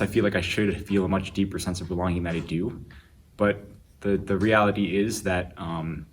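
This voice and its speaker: A teenage male student speaking hesitantly, with many pauses and fillers. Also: low-pitched, slight background noise. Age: teens. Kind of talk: opinion statement in a discussion.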